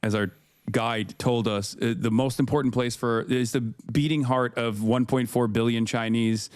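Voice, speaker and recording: Rambling man, smooth dry voice. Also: medium pitch, steady tone, moderate pace, a little mellow, no room sound. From Turkey. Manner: rambling, many fillers and restarts. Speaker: political commentator, guest. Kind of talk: casual podcast conversation.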